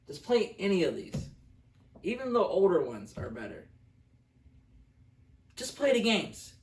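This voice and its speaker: A man with a fry-laden, gravelly voice talks about movies casually and bluntly. He speaks emphatically, as a co-host would, and is picked up from a distance in a reverberant room. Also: sits high, huge pitch swings, a little slow. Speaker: film reviewer, co-host. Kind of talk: casual opinionated movie review chat. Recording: roomy echo, distant microphone.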